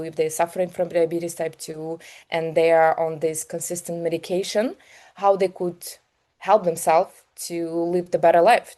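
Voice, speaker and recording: Casting: female interview guest with a Ukrainian accent, slightly low mellow voice, sincere and a little halting in conversation. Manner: earnest, halting phrasing in non-native English. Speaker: guest, health business founder.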